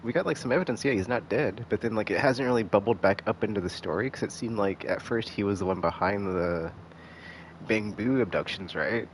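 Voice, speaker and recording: Low-voiced man rambling on a game stream. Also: slight background noise, low-quality recording. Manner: rambling, halting, heavy use of filler "like". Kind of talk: casual live stream commentary.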